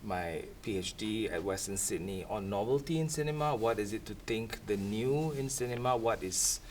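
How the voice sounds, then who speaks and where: A man at a university event. His low voice wanders through long, reflective thoughts. He sits at a distance from the mic with faint room noise. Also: animated, moderate pace. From Singapore. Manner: thoughtful, rambling, with soft laughs. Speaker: academic panelist. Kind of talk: reflective panel talk.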